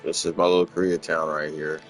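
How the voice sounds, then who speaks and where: A man from Los Angeles, casually talking through his game in a rambling, relaxed way, often repeating words. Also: rumbling low, a little fast, soft background music. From Los Angeles, USA. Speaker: gaming channel host. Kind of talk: casual gameplay walkthrough commentary.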